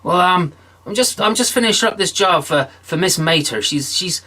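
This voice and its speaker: A man's voice: animated, moderate pace, sharp tone, breathy edge, heavily acted. A man voicing a wily old blacksmith who stalls with stammers and fillers in a told story. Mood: stalling. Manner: hesitant, stammering, higher pitched.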